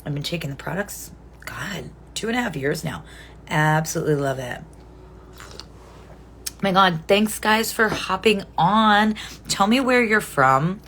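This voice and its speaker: A woman in her forties talks to her live viewers in a low, gravelly voice. She rambles, stops to correct herself and fills gaps with ums. A faint hiss sits under the recording. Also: lively intonation, measured pace, pauses often, a bit bright. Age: 40s. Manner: chatty, rambling, self-correcting with frequent ums. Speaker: livestream host. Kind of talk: casual live chat with viewers.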